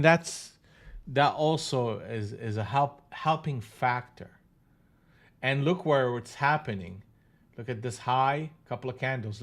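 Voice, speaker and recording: Guy talking thru trades live, mid pitch, loose, keeps restarting, bit of cussing. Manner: casual, repeats himself, restarts phrases; mild swearing. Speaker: day trader hosting a live trading room. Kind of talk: live trading commentary.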